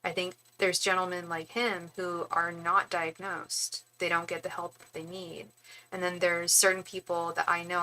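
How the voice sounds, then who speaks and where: A young woman with a bright, edged voice gives a casual psychic reading on a live stream. She rambles, restarts and speaks straight to her viewers in a chatty, conversational way. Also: lowish voice, low-quality recording. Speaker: tarot reader and psychic.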